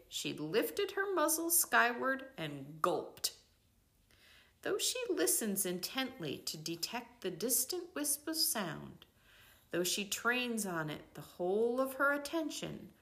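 An older woman narrates a literary nature piece in an unhurried, deliberate way. Her pitch moves expressively across the phrases, with pauses that set each one apart. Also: bright, forceful tone. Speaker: reader of a nature devotional book.

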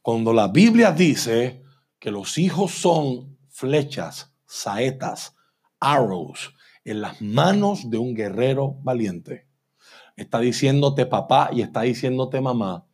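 A male preacher giving a church sermon, slowing down to stress points and often asking "¿verdad?". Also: mid-range voice, expressive, a little mellow, husky. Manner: emphatic, slows and repeats for stress, asks "¿verdad?". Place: church sanctuary.

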